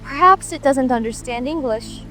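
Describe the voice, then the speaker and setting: A woman's voice: high voice, animated, moderate pace, pushed and bright. A woman playing a polite, curious little girl who speaks earnestly and apologetically in a dramatic audiobook. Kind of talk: dramatic audiobook character reading. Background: music, rain ambience.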